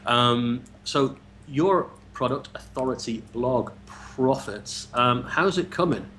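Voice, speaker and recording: An English-accented man from England hosts a casual interview, his voice sitting at a middle pitch. He talks in a friendly, chatty way and stumbles over repeated words as he starts sentences. A faint hiss of background noise sits behind him. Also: expressive, slow, fairly bright tone. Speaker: interview host.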